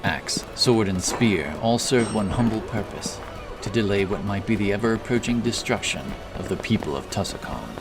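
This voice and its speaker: A man narrating a scripted fantasy story in a dramatic, deliberate manner. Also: fairly low pitch, animated. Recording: distant voice under loud background music.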